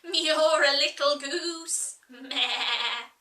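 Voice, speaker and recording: A bossy, grumpy sheep, put on by a woman reader, snaps her lines loud and slow and tacks on a bleating "meh." The voice sits fairly high and bright, heard from a distance in a roomy, echoing space. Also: full character voice. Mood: curt, scolding. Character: grumpy bossy female sheep knitting in a boat. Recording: roomy echo, distant mic.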